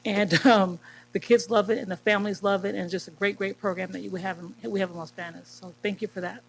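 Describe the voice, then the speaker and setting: A woman's voice: medium pitch, steady tone, fast talker, creaky, a little hiss or hum. Brief: woman, enthusiastic community speaker, heard from a distance from the mic. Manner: warm, enthusiastic praise.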